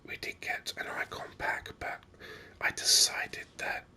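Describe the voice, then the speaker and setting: A man whispering ASMR game commentary in a breathy, unhurried way, thinking aloud close to the microphone. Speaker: gaming video host.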